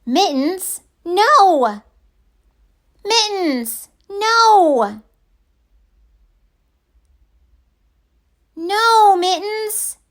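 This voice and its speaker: High-pitched storybook dinosaur girl, young, exasperated and dramatic, acted out by a woman reader, swooping and loud. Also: big pitch swings, extremely slow, exaggerated voice. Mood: frantic scolding. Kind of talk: picture book read-aloud.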